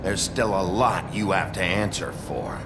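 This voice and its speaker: A blunt, tough sheriff. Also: male voice, sits low, a little slow, very noisy. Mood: hard. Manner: blunt, terse, suspicious. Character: gruff tough male sheriff, blunt and suspicious. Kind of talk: video game cutscene acting.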